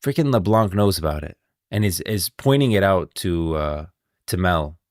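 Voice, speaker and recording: A man's voice: low voice, expressive, normal speed, no room sound. A man giving casual commentary on video game lore as a streamer, recorded close and dry.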